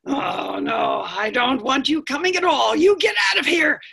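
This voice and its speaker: A fierce woman ranger guarding a bridge in a storybook tale, acted by a woman storyteller. The raised, forceful voice threatens and commands like a troll. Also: sits high, big pitch swings, measured pace, vocal fry, voice pushed up, full character voice. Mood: stern.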